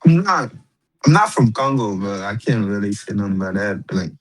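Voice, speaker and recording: A man hosting a podcast as casual chat, speaking in slang and haltingly, often repeating his words. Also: fairly low pitch, very animated, rough recording. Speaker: podcast host.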